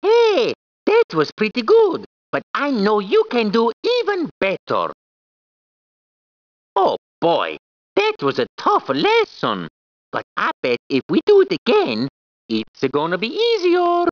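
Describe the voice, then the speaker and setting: A man's voice: extremely high pitch, wildly swooping pitch, takes it slowly, dry recording, exaggerated voice. A man voicing a cheerful, clowning cartoon plumber in a video game, with a put-on Italian accent, squeals and laughs. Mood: playful. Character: cheerful plumber man, jokey, eager and bouncy. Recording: dull, dry game audio.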